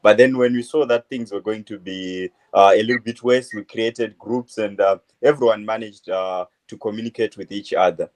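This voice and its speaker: A man in his twenties, from Zimbabwe, talks on a remote line as an interviewee. His voice sits a little low. He hesitates constantly, stringing phrases together with uh and um. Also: a little mellow, low-quality recording. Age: 20s. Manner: hesitant, many uh fillers, halting phrases. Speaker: interviewee, medical student. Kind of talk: phone interview.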